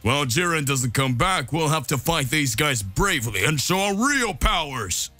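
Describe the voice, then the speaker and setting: A man doing a stern, deep-voiced squad leader. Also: sing-song, normal speed, pushed and bright, soft background music, heavily acted. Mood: proud. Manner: solemn, confident.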